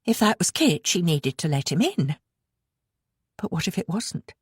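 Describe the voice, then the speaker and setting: Low, breathy woman narrating suspense fiction. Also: sing-song, a little slow, no echo, studio quality. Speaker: audiobook narrator.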